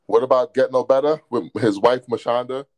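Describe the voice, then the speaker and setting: A man's voice: medium pitch, fairly even intonation, brisk, darkish tone. A man in a casual chat, playfully quizzing and needling the other speaker.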